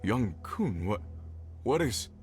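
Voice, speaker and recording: A man's voice: lowish voice, big pitch swings, slow, music in the background. A man playing an earnest, helpful foreman in a video game, polite and slightly hesitant. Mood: concerned, puzzled. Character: earnest, helpful male leader of mine workers. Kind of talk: scripted game dialogue.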